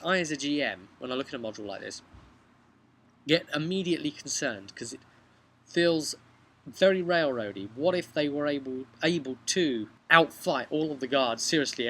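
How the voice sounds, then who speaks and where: A man in his thirties talking casually to camera as a game master, conversational and a little halting, thinking aloud as he goes. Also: medium pitch, sharp tone, a little hiss or hum. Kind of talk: casual vlog recap of a role-playing session. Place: outdoors on a bench beside a brick house.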